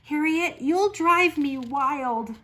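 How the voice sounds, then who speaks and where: A woman reading the part of a loving but exasperated mother in a children's picture book, with restrained, drawn-out delivery. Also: sits high, sharp tone, pitched above the performer's own voice, heavily acted. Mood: restrained exasperation.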